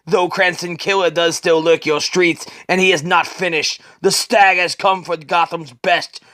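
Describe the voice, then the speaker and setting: Man putting on a slow, grave, undying shadowy avenger. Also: high voice, fairly even intonation, sharp tone, no room sound. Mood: cold, ominous. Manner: slow, grave, ominous pronouncements. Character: undying, unfeeling, cryptic shadowy avenger, male. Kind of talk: comic book dramatic reading.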